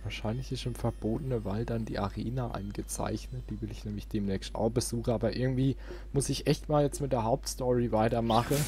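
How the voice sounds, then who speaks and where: Casual male let's player, very mellow. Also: medium pitch, fairly even intonation, a little fast. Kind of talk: casual gaming commentary.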